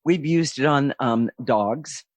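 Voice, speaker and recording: A man of medium pitch co-hosts a talk radio conversation in a dry, close sound. He speaks casually and agreeably, in halting phrases with small interjections. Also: no echo. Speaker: radio co-host.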